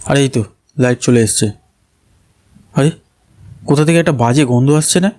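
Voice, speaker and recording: A man's voice: medium pitch, normal speed. A man narrating a scripted first-person horror story and acting out its dialogue. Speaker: narrator and lead character. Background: loud background music.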